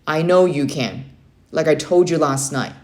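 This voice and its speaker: A woman's voice: sits low, unhurried, a little mellow. A woman voicing a stern, motivating young Navy uncle in a children's story read-aloud, firm and emphatic. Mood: rousing, emphatic.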